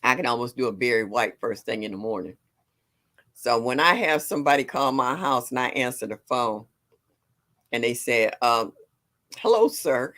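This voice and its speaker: A woman in her sixties from with a deep, low voice, chatting casually on a livestream, greeting viewers and laughing often. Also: expressive, normal speed, a bit bright. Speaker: livestream host. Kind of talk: casual chat reading viewer comments.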